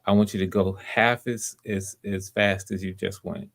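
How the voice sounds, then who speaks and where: A man with a low voice hosts a live audition session. He coaches casually and encouragingly, often stopping to restart or repeat a phrase as he gives his notes. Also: fairly even intonation, moderate pace, a bit bright. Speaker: host of live voice auditions, director giving notes.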